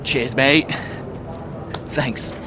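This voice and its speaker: A man's voice: mid-range voice, fairly even intonation, extremely slow, very noisy. A man playing an eager, friendly racing driver in casual, emotive video game cutscene dialogue. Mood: happy, concerned.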